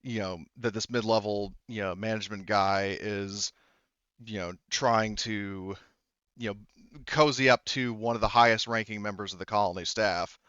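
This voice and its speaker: A man speaking as game master, improvising narration casually, haltingly, often circling back to rephrase. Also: fairly low pitch, a bit bright, smooth, no echo, highs cut off.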